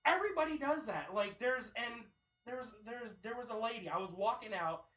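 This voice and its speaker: A man's voice: extremely high pitch, expressive, normal speed, pushed and bright. A twenty-one-year-old man from Pennsylvania co-hosting a podcast, telling a profane, exasperated story in casual chat. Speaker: podcast co-host. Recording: echoey room, mic at a distance.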